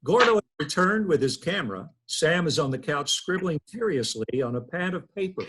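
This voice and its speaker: A man's voice: a little high, lively intonation, moderate pace, background noise. A man reading out stage directions at a sitcom table read, in a plain narrating manner.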